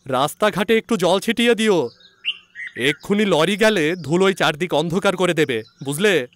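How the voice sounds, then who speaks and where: A man's voice: high-pitched, expressive, normal speed, a bit bright, a little hiss or hum. A man voicing a sceptical young male doctor in an audio story, blunt and emphatic. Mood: instructing.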